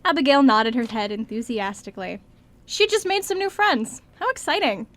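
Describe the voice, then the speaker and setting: A woman's voice: high voice, animated, moderate pace, fairly bright tone. A woman reading scripted story narration as the narrator of an amateur radio play.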